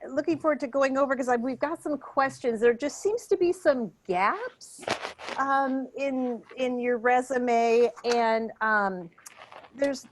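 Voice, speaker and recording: A woman's voice: fairly high pitch, a little hiss or hum. A woman playing a tired, politely upbeat job interviewer in improvised sketch comedy, hesitant and rambling.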